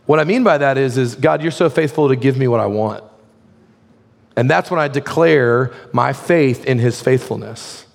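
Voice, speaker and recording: Hoarse man preaching in a reverberant hall. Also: mid-range voice, moderate pace. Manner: conversational, frequent "like" fillers. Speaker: pastor. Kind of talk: sermon.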